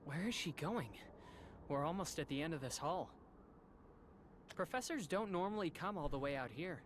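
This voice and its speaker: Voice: medium pitch, lively intonation, a little hiss or hum, lightly acted. An actor playing a polite, reserved young boy student of magic, speaking formally and dryly in an anime-style game. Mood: composed. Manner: formal, measured, dry.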